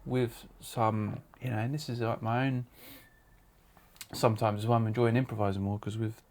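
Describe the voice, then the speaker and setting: A man's voice: lowish voice, level tone, moderate pace, a little mellow. A man in his late thirties hosting a podcast in casual chat, reflective, laughing often and asking probing questions. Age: late 30s. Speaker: podcast host.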